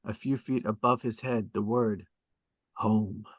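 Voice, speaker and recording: A man with a slightly low voice narrates a screenplay's stage directions. He is measured and descriptive, breaking the action into short dramatic phrases. The sound is dry, with very little room in it. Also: steady tone, no room sound. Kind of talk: scripted screenplay narration at a table read.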